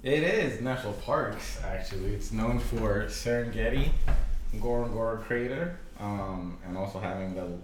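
A man's voice: on the low side, moderate pace, roomy sound, background noise. A young man from Tanzania, a podcast guest talking casually, full of "like" and swearing easily. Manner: casual, filler-heavy, swears and laughs easily. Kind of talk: casual interview.

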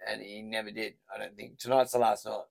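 A man's voice: fairly low pitch, little pitch movement, a little fast, vocal fry. An Australian man hosting a casual combat sports podcast, laughing often and swearing freely in loose banter. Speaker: podcast host, boxing coach. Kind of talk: casual chat.

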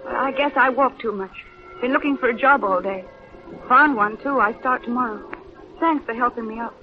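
A woman's voice: a little high, normal speed, close to an everyday voice. An actress in a 1930s radio drama playing a frail, penniless young woman, faltering, modest and grateful. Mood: weak, meek. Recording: dull 1930s radio broadcast, music behind.

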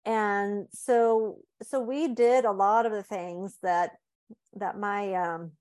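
This is a woman in her forties who grew up in Sweden, appearing as a guest author in a casual interview. Her voice sits at an ordinary middle pitch for a woman. She talks in a thoughtful, halting way, with frequent fillers and restarts. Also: steady tone, a little mellow.

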